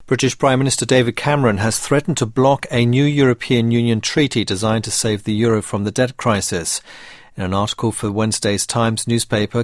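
A man's voice: fairly low pitch, dry recording, studio quality. A man reading a scripted news bulletin as a radio newsreader.

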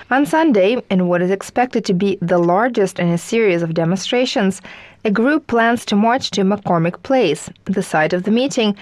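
Female news reader, mid-pitched and steady, dry studio sound. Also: no room sound. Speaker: news presenter. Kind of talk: scripted news reading.

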